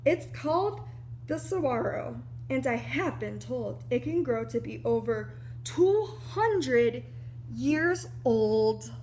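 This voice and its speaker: A woman reading a children's picture book aloud like a preschool teacher, animated and explanatory, with little asides to young listeners. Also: fairly high pitch, slow pace, a little hiss or hum.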